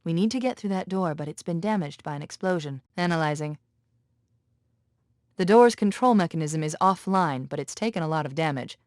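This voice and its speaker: A woman voicing a quick-witted female military AI companion in a video game, crisp, analytical and commanding, calm but urgent. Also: deep voice, dry recording.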